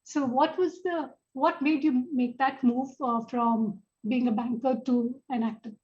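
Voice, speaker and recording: A woman with an Indian accent moderating a panel, halting as she introduces guests and puts questions to them. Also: high voice, steady tone, a little mellow, highs cut off.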